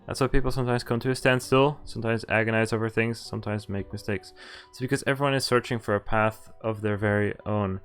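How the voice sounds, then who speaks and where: A man's voice: lowish voice, fairly even intonation, fast talker, a bit bright, clear, resonant tone, soft background music. A man streaming a visual novel, reading dialogue and narration aloud and casually acting out the lines. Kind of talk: live read-aloud of game dialogue and narration.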